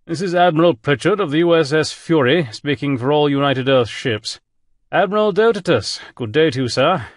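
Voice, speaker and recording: Audiobook role: a man playing a dry-witted English admiral, polished accent, gentlemanly, pitched up. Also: moderate pace, dry recording, close to an everyday voice. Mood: deadpan. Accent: distinguished English. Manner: deadpan, gentlemanly, cheerful. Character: genteel British male admiral, deadpan wit.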